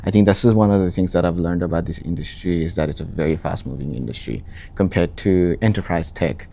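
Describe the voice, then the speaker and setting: A young man from Nepal, answering interview questions in a relaxed way, often restarting phrases with ums. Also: deep voice, brisk, vocal fry, a little hiss or hum. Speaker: interviewee, account manager.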